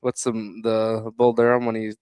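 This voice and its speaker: A man's voice: medium pitch, monotone, moderate pace, darkish tone, clean tone. A young man, a coach, chatting casually as an interview guest in an easygoing, dryly joking manner.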